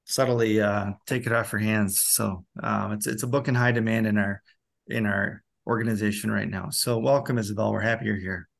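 A man's voice: lowish voice, little pitch movement, moderate pace, creaky. A man hosting a casual, conversational interview. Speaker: interviewer and host.

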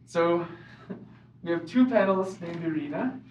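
A woman moderating a panel discussion in a halting, unhurried way as she introduces speakers. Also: on the low side, animated, crowd noise. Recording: distant mic in echoey room, noise.

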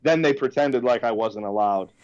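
A man's voice: medium pitch, normal speed, darkish tone, highs cut off. A man in his thirties, a podcast guest chatting casually and laughing at himself. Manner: chatty, self-deprecating, laughs often. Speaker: podcast guest, case company founder.

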